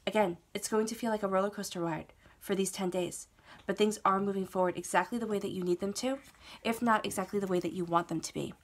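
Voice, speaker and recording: Stuffed-up woman giving a steady tarot reading. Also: medium pitch, quick pace, few pauses. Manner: steady, reassuring, repeats phrases and checks in with "okay?". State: stuffed up from a cold. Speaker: tarot reader. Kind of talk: solo tarot reading to camera.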